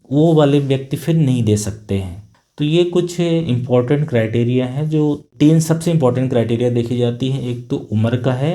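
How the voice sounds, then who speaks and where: A middle-aged male doctor speaks with a mellow, warm voice at an ordinary pitch. He explains things calmly and patiently, in a plain, unhurried manner with the odd thinking pause. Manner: calm, patient, plain-spoken with filler pauses. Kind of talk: explanatory health talk.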